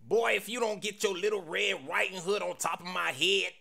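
A man voicing a bossy, easily flustered killer in an animated game parody, with slangy, blustering talk. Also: sits high, normal speed, vocal fry, full character voice. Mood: bossy, threatening. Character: swaggering, nervous red impostor killer, smitten and bossy.

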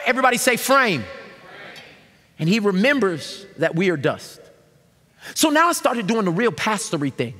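A man in his thirties preaching a sermon from a large church stage, animated and shouting call-and-response lines to the congregation. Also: high voice. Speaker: pastor. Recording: long reverb of a large hall.

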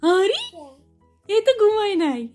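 A woman's voice: extremely high pitch, sing-song, measured pace, a little mellow, soft background music. A woman talking playfully to her baby, cooing and repeating short questions.

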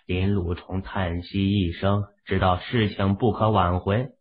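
Guy reading a novel dramatically, really raspy low voice, sounds like its thru a phone. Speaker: audiobook narrator. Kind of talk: scripted dramatic narration of a novel. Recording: narrow, phone-like muffled sound.

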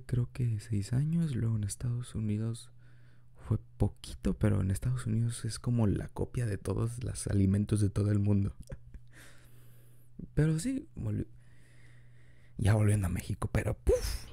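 A man's voice: mid-range voice, creaky, dark tone, dry recording. A young man improvising an ASMR boyfriend roleplay, rambling chattily and laughing often into a close mic. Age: young adult.

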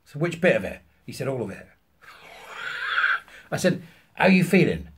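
A British man in his fifties telling an anecdote to camera, emphatically acting out both sides of a conversation in a husky voice. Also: medium pitch, big pitch swings, normal speed, raised voice. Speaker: vlogger. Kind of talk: animated anecdote told to camera. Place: small furnished room at home, indoors.

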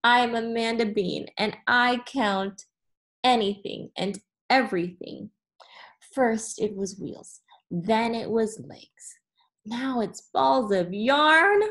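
Storybook girl, played by a woman: young, excitable, determined counter, high voice, emphatic exclamations. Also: takes it slowly. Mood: overwhelmed.

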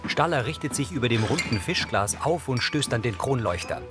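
A man giving measured, neutral scripted narration of a crime series as an audio describer. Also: mid-range voice, animated, music in the background.

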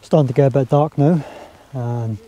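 A Scottish man talking casually to his camera while camping on a hilltop, relaxed and chatty. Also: mid-range voice, moderate pace, highs cut off. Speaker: outdoor vlogger. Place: outdoors on a windy hilltop campsite. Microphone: handheld camera or mini tripod.

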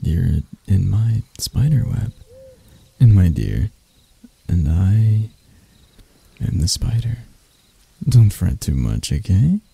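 A man voicing a sadistic, seductive male spider-elf predator in intimate ASMR roleplay, breathy and teasing with his endearments. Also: low-pitched, very animated, warm tone, full character voice. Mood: amused menace. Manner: slow, teasing, purring endearments.

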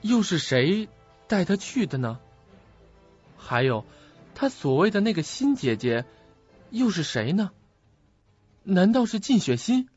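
An actor in an audio drama playing an earnest, puzzled young student who questions and muses to himself. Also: male voice, high voice, big pitch swings, measured pace, pauses often, a little mellow, husky, faint music behind, exaggerated voice. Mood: suspicious musing. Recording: muffled lo-fi audio.

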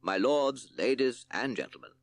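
A man's voice: medium pitch, lively intonation, moderate pace, creaky, voice pushed up. A man voicing a pompous male owl prosecutor in a storybook, with formal, self-important legal speech. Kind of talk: storybook character voice.

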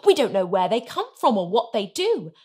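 A woman voicing a cautious, protective mother in a children's storybook, speaking in deliberate warning tones. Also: mid-range voice, big pitch swings, darkish tone, slightly rough.

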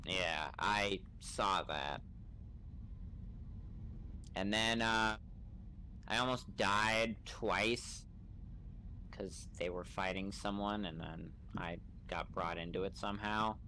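A man's voice: deep voice, slow pace, pauses often, pushed and bright, a little hiss or hum, poor audio. A man talking casually in character in an online role-playing game over a voice chat microphone.

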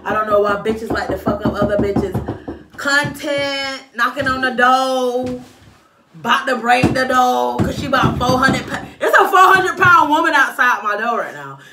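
A young woman telling a story in a vlog, sassy and animated, filmed by a camera in a small room at home. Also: high-pitched, normal speed, echoey room, far from the mic, heavy background noise. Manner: animated and sassy, waving her arms. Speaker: vlogger. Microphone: camera in front of her.

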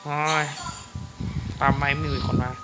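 A woman's voice: slow pace, over loud music, close to an everyday voice, low-quality recording. A woman dubbing a bossy, grumbling male cartoon cat in a blunt, exclamatory way. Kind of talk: improvised comic cartoon dubbing.

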